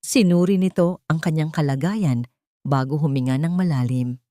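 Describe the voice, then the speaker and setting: A woman's voice: low-pitched, normal speed, mellow, dry recording, clean studio sound. A woman with a Filipino accent reads a scripted dramatic story as a storyteller.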